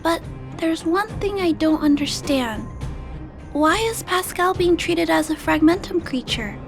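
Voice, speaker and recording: Young girl, high-pitched, gentle, polite, pensive, video game dialogue, background music. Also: lively intonation, measured pace, gravelly, exaggerated voice. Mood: earnest.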